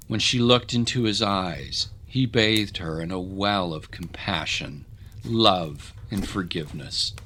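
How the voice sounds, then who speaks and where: A man narrating a scripted dramatic story in a measured, solemn, literary way. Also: deep voice, bright, forceful tone, a little hiss or hum.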